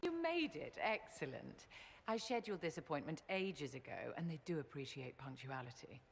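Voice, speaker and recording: A woman's voice: low-pitched, expressive, moderate pace, creaky, a little hiss or hum. An actress playing an elegant, imperious but affectionate society lady in a video game, speaking in a genteel, indulgent manner. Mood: breezy, indulgent. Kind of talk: video game cutscene acting.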